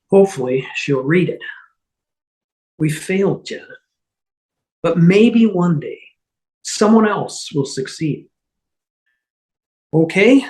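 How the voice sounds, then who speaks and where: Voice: mid-range voice, low-quality recording. A reader in an amateur table read over a video call, playing an earnest, fumbling man who speaks hesitantly. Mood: flustered. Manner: hesitant, muttering repetitions.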